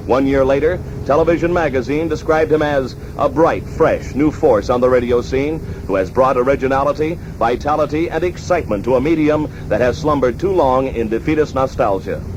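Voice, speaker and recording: A man with a formal announcer delivery, reading scripted narration in the manner of a 1960s radio documentary. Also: medium pitch. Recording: dull, noisy archival 1960s radio recording.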